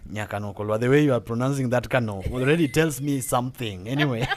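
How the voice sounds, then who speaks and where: A man's voice: medium pitch, big pitch swings, fairly quick. A young Kenyan man hosting a casual podcast, talking with many fillers and repeated words and laughing often. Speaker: podcast host.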